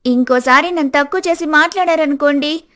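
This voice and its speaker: A woman voicing a clever, outspoken young daughter-in-law in an animated family story, earnest and firm. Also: sits high, brisk. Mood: defiant. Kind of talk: animated family drama dialogue.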